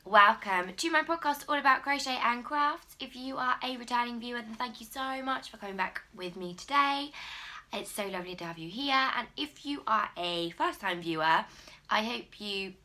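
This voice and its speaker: An English woman in her thirties hosting her own crochet video podcast, her voice croaky, chatting warmly with plenty of ums. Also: fairly high pitch, animated, moderate pace. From England. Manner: chatty and friendly, many ums. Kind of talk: casual solo video podcast.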